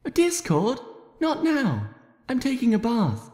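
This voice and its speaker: Man doing a high-pitched gentle female pony. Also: wildly swooping pitch, takes it slowly, reverberant, in a large hall, full character voice. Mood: flustered. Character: gentle female cartoon pony, sweet and timid.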